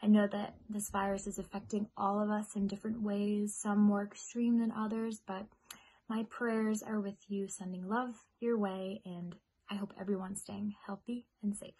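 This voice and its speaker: A young American woman speaking in a friendly storybook-reading style, with a husky voice. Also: mid-range voice, rough recording. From United States. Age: young adult. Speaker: singer-songwriter reading a children's story.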